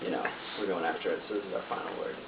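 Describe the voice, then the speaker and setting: A man's voice: low voice, fairly even intonation, racing. Earnest young man, distant and noisy. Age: 20s. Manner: earnest, thoughtful explaining. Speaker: guitarist. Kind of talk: casual group interview. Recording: distant, echoey, noisy lo-fi room.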